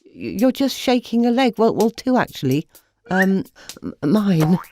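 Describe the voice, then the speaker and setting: A woman's voice: medium pitch, very animated, unhurried, warm tone, a little hiss or hum, pitched above the performer's own voice. A woman voicing a polite, worried mother flamingo with a hesitant, stammering manner in a children's storybook. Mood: polite, flustered.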